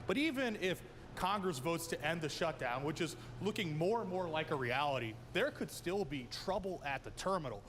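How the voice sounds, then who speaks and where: A man's voice: on the high side, expressive, normal speed, a bit bright. An American man presenting a scripted television news report, recorded with background noise.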